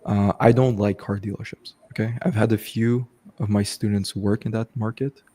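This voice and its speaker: Give me a man with a deep, warm voice hosting a casual live Q&A. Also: fairly even intonation, normal speed. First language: French. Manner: casual, repeats "okay" and "bro", frequent restarts. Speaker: host coaching online business. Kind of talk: live stream Q&A, casual advice.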